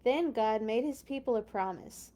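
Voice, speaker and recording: A woman's voice: on the high side, moderate pace, mellow. A woman hosting a children's read-along, reading a storybook expressively with chatty, playful asides and dramatic emphasis.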